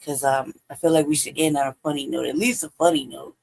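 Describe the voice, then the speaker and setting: A man's voice: a little high, steady tone, fast talker, creaky, darkish tone, slight background noise. Man hosting a livestream, loose and rambling. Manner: loose and rambling, drops to a near whisper at times. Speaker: live stream host. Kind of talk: casual commentary reacting to videos.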